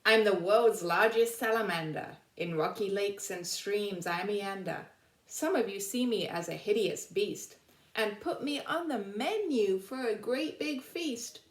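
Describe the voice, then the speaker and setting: Giant gentle storybook salamander, read by a woman, pleading in measured rhyme, roomy echoing sound. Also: medium pitch, close to an everyday voice. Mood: proud, pleading. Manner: measured rhyming recitation.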